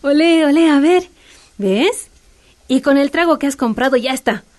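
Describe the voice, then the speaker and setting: A woman's voice: high-pitched, lively intonation, normal speed, a little mellow. A woman playing an easygoing, chatty wife in a radio soap, with a Bolivian accent. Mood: soothing, chatty. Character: easygoing, chatty homemaker wife soothing her grumpy husband.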